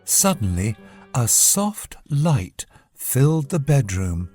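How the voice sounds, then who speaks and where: Slow, expressive bedtime storyteller. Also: mid-range voice, music in the background. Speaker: storyteller, narrator. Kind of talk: scripted children's bedtime story narration.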